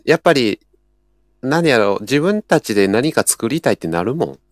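A man's voice: mid-range voice, very animated, normal speed, no echo, clean studio sound. A man with a Kansai accent talking casually as a participant in a livestream chat. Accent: Kansai Japanese. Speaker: behind-the-scenes creative staff, co-speaker.

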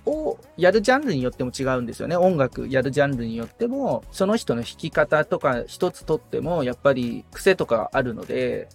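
A man's voice: on the high side, vocal fry, breathy edge. A man around forty talking as a podcast guest in a thoughtful, explanatory way, with tentative phrasing in a casual interview. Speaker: podcast guest, guitar repair technician.

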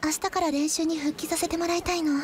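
A woman playing a ladylike high school girl with a catty streak in a radio drama. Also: fairly even intonation, quick pace. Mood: apologetic. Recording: loud background noise.

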